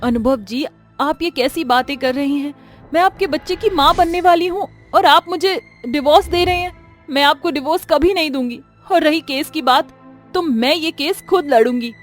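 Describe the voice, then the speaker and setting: A woman's voice: high voice, normal speed, soft background music, close to an everyday voice. A woman voicing a young, dutiful but firm daughter-in-law in a moral-story cartoon, earnest and melodramatic. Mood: distressed, defiant.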